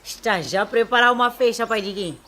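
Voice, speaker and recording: A woman acting an indignant Mozambican village wife in a radio drama. Her voice is fairly high, suspicious and demanding, over clearly audible farmyard noise. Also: fairly quick, lightly acted.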